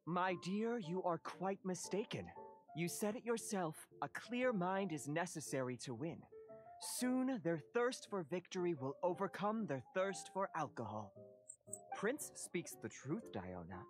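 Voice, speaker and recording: A woman's voice: lowish voice, very animated, a little slow, faint music behind. A woman voicing a theatrical girl in a video game who speaks grandly and formally, as if of destiny. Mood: grandiose. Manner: pompous, dramatic, old-fashioned formal diction.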